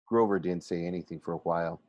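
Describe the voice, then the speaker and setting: A man reading a children's novel aloud like a teacher to a class, expressive but halting, often going back over phrases. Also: sits low, no echo, muffled recording. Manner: halting, stumbles and rereads phrases.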